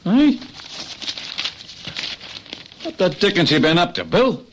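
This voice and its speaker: An actor playing a bossy, scornful Australian leader of a train robbery gang in a radio drama. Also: male voice, high voice, big pitch swings, a little fast, a bit bright, a little hiss or hum. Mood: scornful, menacing.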